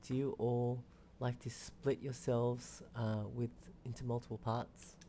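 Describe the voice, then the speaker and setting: A woman streamer chatting casually as she reacts to a video game, with a low, creaky voice. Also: level tone, unhurried, mellow, a little hiss or hum. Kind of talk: casual chat and reading along.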